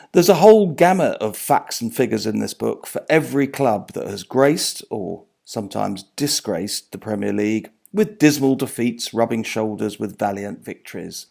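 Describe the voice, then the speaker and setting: A man reading from his own non-fiction book as its author, in a measured, articulate, literary manner, phrase by phrase, letting his lines fall away at the end. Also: lowish voice, vocal fry, darkish tone.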